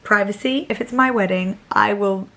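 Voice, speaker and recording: A woman in her thirties chatting candidly to camera in a Q&A, full of "like" and "honestly", keeping her voice low while it recovers from strain. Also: normal speed, a bit bright. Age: 30s. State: voice still recovering, speaking low. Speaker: vlogger. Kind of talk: casual Q&A chat to camera.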